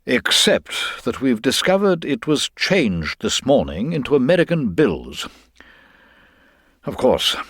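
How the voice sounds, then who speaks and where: A man's voice: on the low side, a bit bright, slightly rough, no echo, studio quality, pitched above the performer's own voice, full character voice. A man voicing an irritable, imperious lord in a dramatised story, formal, clipped and demanding. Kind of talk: dramatised audiobook reading.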